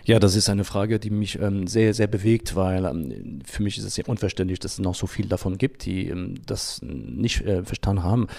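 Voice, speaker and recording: Low, creaky, halting man. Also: a little mellow, no echo. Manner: halting, searching for words with frequent hesitations. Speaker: interview guest, environmental group founder. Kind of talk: unscripted interview answers.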